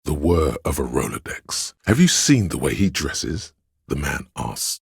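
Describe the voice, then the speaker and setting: A man playing a solemn, all-knowing inner-voice narrator in a video game, with a gravelly, husky voice and a measured, literary delivery. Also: extremely low pitch, big pitch swings, no echo, studio quality, full character voice. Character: omniscient, solemn inner-voice narrator of police camaraderie. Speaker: voice actor narrating a character's inner voice.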